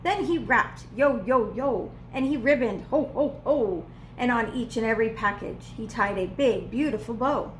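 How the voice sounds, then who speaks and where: A woman reading a children's storybook aloud as a preschool teacher would, with expressive, playful emphasis. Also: fairly high pitch, moderate pace, few pauses, slight background noise, low-quality recording. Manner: dramatic, playful emphasis on repeated words.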